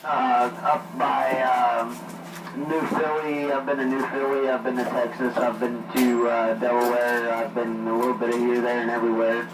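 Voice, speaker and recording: Tow driver, flat rambling talk, distant echoey CB radio with static. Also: male voice, mid-range voice, moderate pace, over loud music. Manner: rambling storyteller, many fillers. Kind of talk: casual CB radio chat. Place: parked near a coffee shop. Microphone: CB radio handset.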